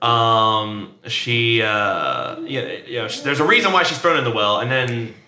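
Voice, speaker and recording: A man's voice: medium pitch, lively intonation, a little slow, reverberant, a crowd in the background. A man co-hosting a horror podcast, chatting casually with lots of fillers and restarts. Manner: rambling, restarts, frequent fillers. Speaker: podcast co-host. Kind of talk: casual chat.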